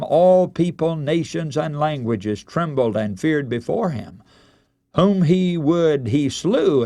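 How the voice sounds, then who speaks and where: A man preaching a radio sermon, telling a Bible story in solemn, deliberate oratory with dramatic emphasis. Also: a little high, darkish tone, no echo.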